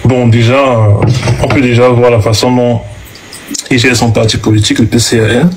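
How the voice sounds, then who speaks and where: A man's voice: medium pitch, flat delivery, normal speed, a little hiss or hum. Hesitant young man groping for words. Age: 20s. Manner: hesitant, searching for words, many fillers. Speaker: guest in debate. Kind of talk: heated debate.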